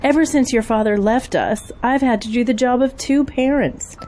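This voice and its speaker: Actress as high-voiced, driven campaign manager mom. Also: female voice, moderate pace, mellow, lightly acted. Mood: earnest, pleading. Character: busy political campaign manager and single mother. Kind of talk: audio drama acting.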